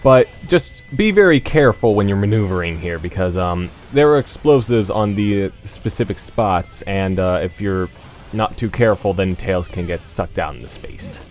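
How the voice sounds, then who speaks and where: A man's voice: deep voice, animated, normal speed. A man giving casual gaming commentary, explaining things in a hesitant way. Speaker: let's play commentator. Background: game music.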